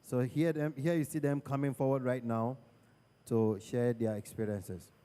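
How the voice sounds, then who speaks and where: A man hosting a church program, addressing the congregation in a measured, preacherly way. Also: medium pitch, steady tone, dark tone, clean tone. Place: large church hall. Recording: long reverb of a big hall.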